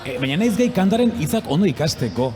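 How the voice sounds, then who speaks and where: Brief: male host, Basque accent, slightly high voice, casual live festival chat, reverberant sound. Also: sing-song, fast talker. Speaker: radio host. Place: festival radio set. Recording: echoing live PA with loud music.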